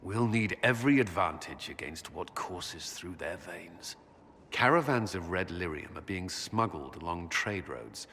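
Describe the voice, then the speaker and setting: A man's voice: low voice, expressive, measured pace, pushed and bright, in a large hall, a little hiss or hum, full character voice. An actor playing a grave, earnest male military commander in a game, speaking firmly. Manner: grave and firm.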